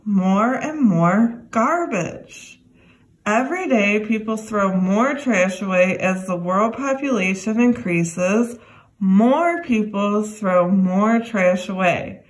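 A young adult woman reading a children's educational book aloud, addressing young listeners in a deliberate way. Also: medium pitch, breathy edge, rough recording. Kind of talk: read-aloud children's lesson.

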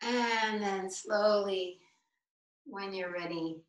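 Slow-paced woman guiding a meditation. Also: medium pitch, lo-fi sound, a little hiss or hum. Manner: slow, deliberate delivery with spaced-out phrases. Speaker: meditation teacher. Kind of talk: guided meditation. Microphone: video call. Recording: roomy, distant video-call microphone.

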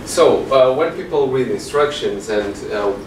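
A man presents at a meetup, pausing often on fillers. His voice is mid-pitched. He is heard from a distance in a reverberant room with noticeable background noise. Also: expressive. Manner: hesitant, many fillers. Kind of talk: tech meetup talk. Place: large meeting room with projector. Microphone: far room mic. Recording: echoey room from far off, noisy.

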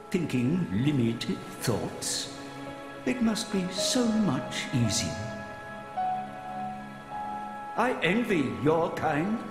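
A man playing an ancient, weary, grandfatherly titan who muses in a brooding, deliberate way in a game cutscene. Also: fairly high pitch. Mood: envious, brooding. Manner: brooding, slow and deliberate, philosophical. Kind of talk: dramatic game cutscene acting. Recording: echoey with long reverb, music behind.

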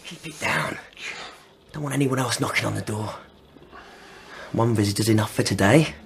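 An actor playing a menacing young deserter, husky, taunting and sneering in a radio drama. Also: male voice, lowish voice, moderate pace, a little hiss or hum, lightly acted. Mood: volatile bullying. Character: menacing young male army deserter with a gun.

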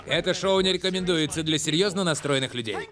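A man's voice: on the high side, fast, a crowd in the background, lightly acted. A man voicing a fussy, earnest cartoon bird presenter with a theatrical, indignant manner. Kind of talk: exaggerated children's cartoon dubbing.

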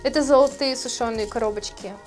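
Gentle woman florist explaining a craft. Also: on the high side, brisk, soft background music. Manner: gentle, explanatory, addresses viewers as friends. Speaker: florist teaching a course. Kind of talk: calm tutorial narration. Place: indoor studio with plants. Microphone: lapel mic.

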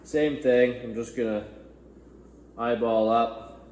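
A man's voice: mid-range voice, fairly even intonation, slow pace, darkish tone. A man in his thirties giving a casual hands-on tutorial, talking informally and easily as he works. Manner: easygoing, informal explaining while working. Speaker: instructor demonstrating canoe outfitting. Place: large bare indoor workshop with plastic-sheeted walls. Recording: very echoey room, distant mic, faint noise.

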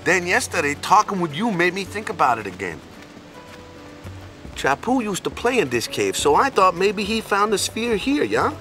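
A man's voice: high voice, very animated, moderate pace, bright, forceful tone, soft background music, exaggerated voice. An actor playing a good-hearted, boneheaded island man in a video game, talking in a casual, folksy way with tag questions. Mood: reflective, unsure. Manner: folksy and casual, tags sentences with "yeah?" and "you know?".

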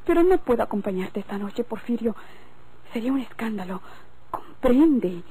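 An actress playing a reluctant, anxious rural sweetheart with a Mexican accent, on a noisy old radio recording. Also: female voice, fairly high pitch, lively intonation, moderate pace, warm tone, close to an everyday voice. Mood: reluctant, pleading. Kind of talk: golden-age radio drama acting.

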